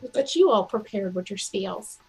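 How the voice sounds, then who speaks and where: A woman's voice: medium pitch, lively intonation, normal speed, darkish tone, a little hiss or hum, low-quality recording. A woman playing a tabletop role-playing game, chatting casually and describing what her character does.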